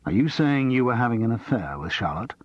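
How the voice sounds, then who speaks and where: A man voicing a shrewd, dry middle-aged amateur detective who asks level, probing questions, husky and muffled. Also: mid-range voice, very animated, brisk, no echo.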